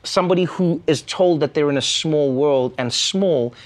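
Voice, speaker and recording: A man's voice: fairly high pitch, normal speed. A man hosting a casual interview, asking questions in a playful, joking way. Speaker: talk show host.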